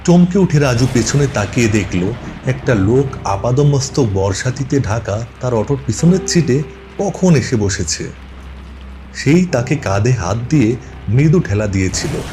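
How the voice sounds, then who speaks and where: A man's voice: medium pitch, animated, unhurried, music in the background. A man narrating a scripted ghost story for a cartoon as its storyteller.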